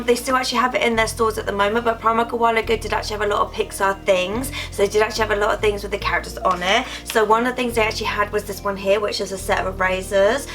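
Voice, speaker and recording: A woman's voice: mid-range voice, pushed and bright. A woman talks casually through a haul, sounding a little stuffy and nasal from a cold. Her chat rambles along with plenty of fillers, set over faint background music. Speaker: vlogger.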